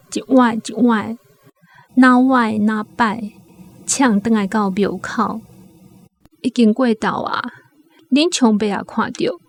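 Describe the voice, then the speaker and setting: A woman with a Taiwanese accent narrating a scripted radio drama as a storyteller. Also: a little high.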